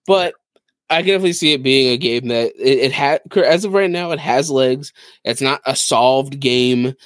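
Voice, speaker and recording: A man with a mid-pitched voice talks casually in a dry, close recording. He explains things enthusiastically, in the easy conversational style of a gaming podcast host. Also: moderate pace, fairly bright tone, no echo. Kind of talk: casual chat about video games.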